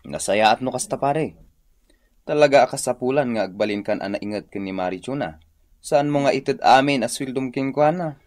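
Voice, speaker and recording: A man's voice: medium pitch, normal speed, a little mellow, natural voice. A man playing an earnest friend giving sober advice in an Ilocano-accented radio drama. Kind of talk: Filipino radio drama acting.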